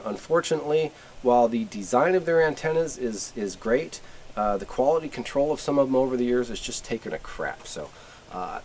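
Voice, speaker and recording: A man's voice: medium pitch, a little mellow. Middle-aged American man over background noise. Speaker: video host reviewing radio gear. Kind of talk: casual unscripted product review commentary. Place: in front of a closed garage door. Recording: clear background noise, camera at a distance.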